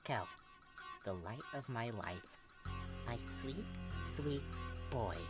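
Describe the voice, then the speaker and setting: A woman's voice: very deep voice, animated, slow pace, music in the background, full character voice, low-quality recording. A woman voicing a brash, foul-mouthed tough teenage girl with a grumbly, exasperated manner. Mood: menacing, exasperated.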